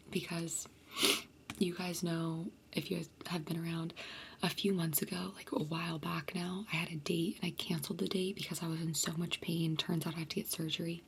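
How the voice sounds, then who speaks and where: Whispering woman with a gravelly low voice. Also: slight background noise. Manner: chatty, many fillers. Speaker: vlogger. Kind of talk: casual storytime to viewers.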